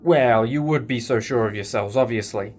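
A man's voice: mid-range voice, normal speed, close to an everyday voice. A man playing a driven, defiant time-travelling hero in a fan audio drama, earnest and dramatic.

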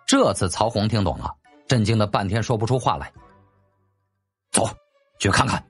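A man's voice: lowish voice, very animated, fairly quick, husky, soft background music. A man narrating a scripted story as a dramatic audiobook storyteller.

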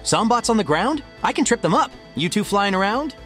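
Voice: mid-range voice, sing-song, brisk, a bit bright, soft background music. A performer voicing a cocky but caring young hedgehog hero in a comic dub, casual, slangy and confident.

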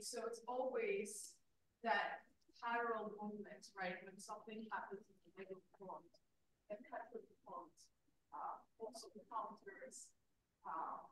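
A woman's voice: fairly high pitch, a little hiss or hum. Distant woman in an echoey room. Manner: loose, conversational, many fillers. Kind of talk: conference discussion remark. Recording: echoey room, distant mic, faint ambience.

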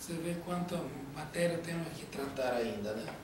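Brazilian spiritist lecturer in his forties, teasing and conversational, fairly high voice caught from a distance in a very echoey room with audible hiss. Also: lively intonation, moderate pace. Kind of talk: informal Q&A with audience. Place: small room in a spiritist center. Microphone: far camera. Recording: echoey room, picked up from afar.

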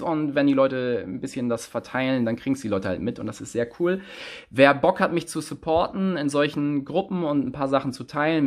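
A man in his thirties talks straight into a phone held close at arm's length in a small indoor room. He tells a story like a lively, animated entertainer, his voice jumping up and down. His pitch sits in the middle range for a man. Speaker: rapper. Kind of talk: casual selfie vlog storytelling.

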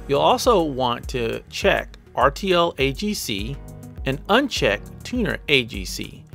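Male tutorial narrator, mid-pitched with a gravelly edge, instructional delivery for a tech explainer. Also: a bit bright. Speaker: tutorial host.

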